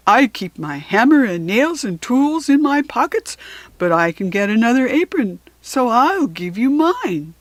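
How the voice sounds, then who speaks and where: A woman's voice: on the high side, big pitch swings, unhurried, no room sound. A woman voicing a friendly, plain-spoken working man in a storybook, casual and helpful. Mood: obliging.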